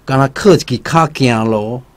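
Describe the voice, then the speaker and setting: An actor in a radio drama playing a seasoned fortune teller, solemn and fatalistic, making deliberate pronouncements. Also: male voice, mid-range voice, lightly acted. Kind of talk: Taiwanese radio drama acting.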